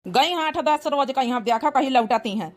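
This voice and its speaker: A woman's voice: high voice, lively intonation, fairly quick, a crowd in the background. A woman voicing a mocking, unwelcoming village sister-in-law with an Awadhi accent in a cartoon comedy. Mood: nosy. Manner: taunting. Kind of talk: dialect cartoon comedy acting.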